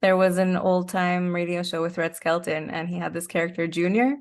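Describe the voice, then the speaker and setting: A woman's voice: on the low side, little pitch movement, fairly quick. A woman talking casually as an interview guest, chatty and informal, wandering off into side stories. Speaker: interview guest, actor and podcaster.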